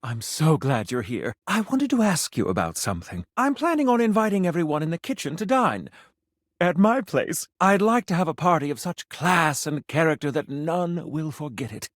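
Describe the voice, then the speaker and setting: A man's voice: on the high side, no room sound, clean studio sound. An actor playing a snobbish, theatrical food critic in a video game, a man with pompous, precise, refined diction. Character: flamboyant, snobbish, easily offended male food critic, grandly romantic. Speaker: restaurant critic.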